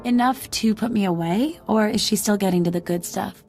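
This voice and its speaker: A woman's voice: medium pitch, fairly quick, vocal fry, a little mellow, natural voice. An actress voicing a scrappy, street-smart woman outlaw in a video game, wary and defiant, talking in clipped colloquial phrases. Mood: wry, brash. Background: music.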